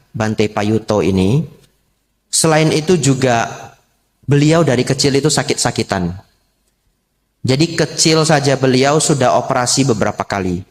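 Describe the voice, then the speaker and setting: A man preaching as a Buddhist monk, unhurried, repeating key phrases and posing rhetorical questions to lay listeners. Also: mid-range voice. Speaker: Buddhist monk giving a Dhamma talk. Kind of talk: sermon to a lay congregation.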